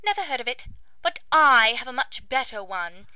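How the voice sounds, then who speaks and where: A woman puts on a prim, theatrical lady pony for a cartoon. She is haughty and high-pitched, with a bright, forceful edge. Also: big pitch swings, moderate pace, clear, resonant tone, no echo, heavily acted. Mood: indignant.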